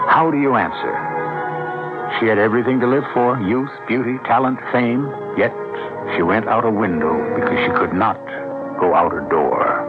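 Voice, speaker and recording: A man hosting and narrating a radio drama in a measured, theatrical, rhetorical style. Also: on the low side. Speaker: host and narrator. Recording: tinny old radio broadcast, music behind. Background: clear music.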